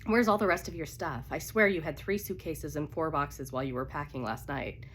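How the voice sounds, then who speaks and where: A woman's voice: low voice, a little fast, fairly bright tone, a little hiss or hum. A woman with a cold voicing a loyal, reassuring male best friend in a romance novel. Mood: concerned. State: congested with a cold.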